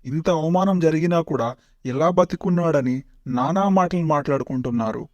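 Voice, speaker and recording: A man's voice: on the high side, brisk, muffled recording, close to an everyday voice. A man voicing a scheming royal servant in a cartoon parody, blunt and matter-of-fact. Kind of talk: animated parody comedy voice acting.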